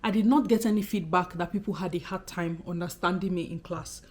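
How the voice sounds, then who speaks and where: A woman in her thirties from Nigeria, with a Nigerian accent that carries a Benin undertone, talking expressively and fast in a casual vlog to camera. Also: lowish voice. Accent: Nigerian, Benin City undertone. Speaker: YouTuber.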